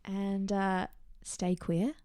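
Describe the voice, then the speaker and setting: A woman's voice: mid-range voice, level tone, very slow, mellow, dry recording, studio quality. A woman with a soothing voice, co-hosting a podcast in casual, bubbly chat. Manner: bubbly, laughs often. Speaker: podcast co-host.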